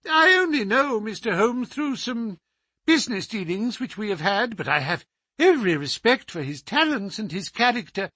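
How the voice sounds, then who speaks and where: A man voicing a small, frail, sinister older man with a high, petulant, penetrating voice that turns shrill and screaming in anger. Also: big pitch swings, moderate pace, bright, forceful tone, slightly rough, dry recording, clean studio sound, exaggerated voice. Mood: feigned concern. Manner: shrill, querulous, screaming when angry.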